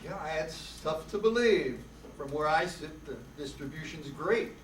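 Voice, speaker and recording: A man's voice: a little high, expressive, unhurried, a crowd in the background. A man playing a dry, incredulous wise guy in an old radio noir drama. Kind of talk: 1950s radio noir acting on stage. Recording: echoey room, distant mic, clear noise.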